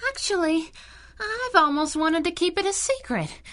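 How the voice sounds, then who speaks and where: A woman's voice: very high-pitched, animated, normal speed, fairly bright tone. A stammering, frightened young woman. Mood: hesitant, dazed. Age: young adult. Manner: hesitant, stammering. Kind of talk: 1930s-style radio drama acting.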